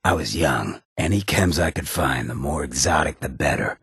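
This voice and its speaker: An actor playing a roguish, irreverent ghoul in a video game, with a raspy American voice and a wry, cocky, streetwise drawl. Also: male voice, extremely low pitch, lively intonation, normal speed, fairly bright tone, no room sound, studio quality, heavily acted. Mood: wistful, wry. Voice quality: raspy, gravelly. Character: roguish drug-loving irradiated ghoul, swaggering, irreverent, loyal. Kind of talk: video game companion dialogue.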